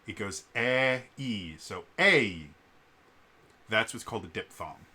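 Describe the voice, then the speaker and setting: A man's voice: fairly low pitch, animated, slow, sharp tone, breathy edge. A man talks casually as a vlogger and game master, explaining things in a digressive, storytelling way. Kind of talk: casual rambling storytelling vlog.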